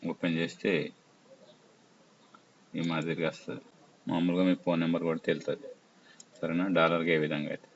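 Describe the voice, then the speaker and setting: A man presenting an informal app tutorial, explaining step by step in short, halting phrases. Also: low-pitched, flat delivery. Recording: muffled lo-fi audio with faint noise.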